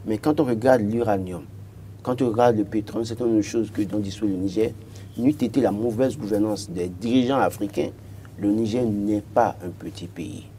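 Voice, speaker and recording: A man's voice: fairly low pitch, normal speed, dark tone, slight background noise. A man in his thirties from Benin with a Beninese French accent, arguing as a political commentator on a TV panel and often stuttering on repeated words.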